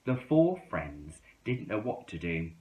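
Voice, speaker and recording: A man reading a children's story aloud in an expressive storytelling style. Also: sits low, slow pace. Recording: roomy, echoing indoor sound.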